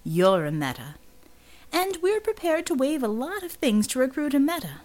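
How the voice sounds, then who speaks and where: A woman voicing a smug male mercenary recruiter in an audiobook, with insinuating, smooth sales patter. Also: high-pitched, sing-song, moderate pace, a little mellow, pitched above the performer's own voice. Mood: coaxing, smug.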